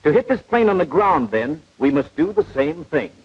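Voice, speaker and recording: A man playing a patient, precise, didactic gunnery instructor in a 1940s animated training film. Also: a little high, normal speed, slightly rough, exaggerated voice. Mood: instructive. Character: patient, know-it-all male gunnery instructor, precise and unflappable. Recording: dull vintage 1940s film soundtrack.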